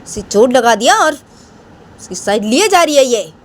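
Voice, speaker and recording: A woman voicing an elderly grandmother who scolds her daughter-in-law, nagging and repeating herself in a shrill tone. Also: very animated, normal speed, a little hiss or hum. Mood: indignant.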